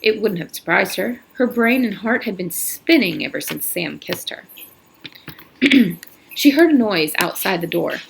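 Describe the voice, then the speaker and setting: A woman's voice: mid-range voice, expressive, unhurried, creaky, slight background noise, low-quality recording. A woman reading a novel aloud in a casual manner, with slips and restarts. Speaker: reader.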